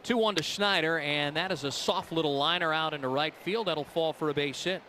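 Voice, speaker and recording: Male sports announcer, slightly high voice, live baseball play-by-play, faint outdoor background noise. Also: a little fast, pushed and bright.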